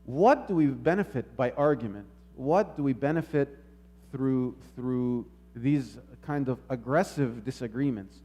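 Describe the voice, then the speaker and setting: A man giving a sermon in a measured, reflective manner, posing rhetorical questions and repeating phrases as he teaches. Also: mid-range voice, dark tone. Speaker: preacher.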